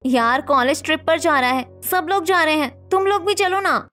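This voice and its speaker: A woman voicing a lively, persuasive young college friend in a cartoon story. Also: high voice, normal speed. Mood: coaxing.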